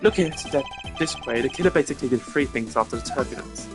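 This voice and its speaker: A game streamer voicing a composed, haughty male prosecutor with a formal, pompous, measured delivery. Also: medium pitch, over music, close to an everyday voice. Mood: measured, explanatory.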